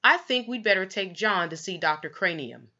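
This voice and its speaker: A woman's voice: medium pitch, lively intonation, moderate pace, pushed and bright, highs cut off. A woman voicing a stern storybook father with a firm, questioning manner.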